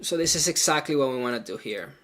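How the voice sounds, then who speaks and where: Bright, chatty man coding live. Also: medium pitch, expressive, fast. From Venezuela. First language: Spanish. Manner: chatty and loose, full of "like" and "um", thinks aloud while coding. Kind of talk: casual live coding stream, chatting with viewers.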